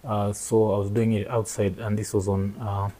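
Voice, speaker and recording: Brief: male interviewer, husky warm voice, curious and chatty. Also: fairly low pitch, flat delivery, normal speed. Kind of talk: casual conversation.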